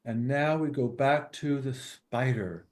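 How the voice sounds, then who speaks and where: A man introducing his reading informally at an online open mic, with a halting, playful manner. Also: mid-range voice, darkish tone. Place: online video gathering.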